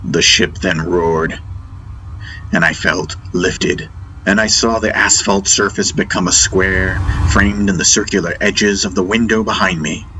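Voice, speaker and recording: A man reading a dramatic first-person audiobook story in deliberate, theatrical phrases with weighty pauses. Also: low voice, steady tone, bright, forceful tone, slight background noise, rough recording. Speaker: audiobook narrator. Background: atmospheric music soundtrack.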